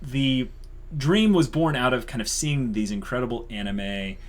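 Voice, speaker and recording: A man's voice: mid-range voice, moderate pace. A man co-hosting a YouTube channel, chatting casually and unscripted in an enthusiastic, emphatic way, repeating himself for emphasis.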